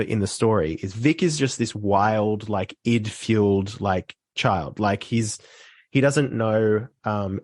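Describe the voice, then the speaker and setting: A man hosting a casual podcast conversation, explaining things informally and haltingly into a close microphone. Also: fairly low pitch, darkish tone, no echo.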